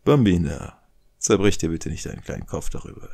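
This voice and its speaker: An actor playing a suave, menacing older Italian crime boss in a German audio drama, smooth and condescending. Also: male voice, sits low, animated, fairly quick, exaggerated voice. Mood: quietly threatening. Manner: smooth, condescending, quietly threatening.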